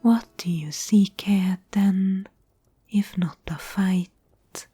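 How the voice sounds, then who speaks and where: A woman plays a mysterious guardian maiden in a dark fantasy ASMR tale. She speaks extremely slowly in a soft, mellow whisper, breathy and solemn, with music behind her. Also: mid-range voice, no room sound, heavily acted. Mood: guarded, defiant. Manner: slow, measured, solemn. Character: mysterious Spindlemaid, calm protective fantasy guide.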